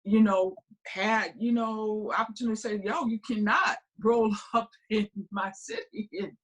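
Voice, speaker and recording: A woman speaks on a panel discussion with an emphatic, animated delivery. She tells her story with energy, leaning on each point. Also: medium pitch, measured pace, slightly rough, low-quality recording. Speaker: film crew veteran panelist.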